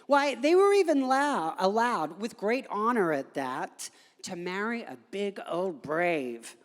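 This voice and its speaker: A woman's voice: medium pitch, sing-song, measured pace. A woman giving a theatrical live reading of a humorous article as a guest performer at an event. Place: indoor gallery event space, before an audience. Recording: echoey hall recording.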